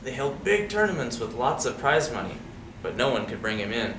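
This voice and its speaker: A man reads a children's story aloud with a very lively, swinging pitch and casual asides to his young listeners. He sounds set back from the microphone in an echoey room. There is clear background noise around his voice. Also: brisk. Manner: conversational, checks in with young listeners using questions. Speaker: storyteller reading a picture book aloud to children. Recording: roomy, distant mic with clear background noise.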